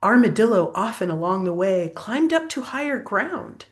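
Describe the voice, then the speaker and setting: An older woman reading a picture book aloud as a grandmother, pausing to prompt a child with gentle questions. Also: mid-range voice, big pitch swings. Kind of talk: storybook read-aloud with asides to a child.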